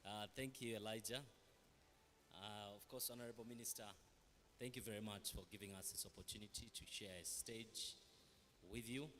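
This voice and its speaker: A man on a panel, speaking deliberately with rhetorical questions. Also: lowish voice, fairly even intonation, a bit bright, slight background noise. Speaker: media executive panelist. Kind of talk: panel remarks. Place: large hall. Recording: reverberant hall.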